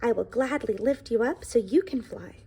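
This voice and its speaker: Storybook pie character female reader: humble, bashful, earnest, higher-pitched with a husky edge. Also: moderate pace, dark tone. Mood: modest, earnest. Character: humble, modest, self-effacing male pie from a picture book.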